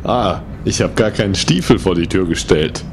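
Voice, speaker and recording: A man's voice: low-pitched, moderate pace, noisy background. A man improvising as an adventurer in a comic audio play, with playful, theatrical delivery.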